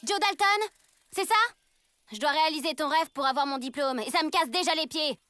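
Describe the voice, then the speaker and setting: A woman voicing a small, blunt, scatterbrained fairy student in an animated comedy, with a flippant, sassy delivery. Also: high voice, brisk, no echo. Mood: grumpy. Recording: faint music behind the voice.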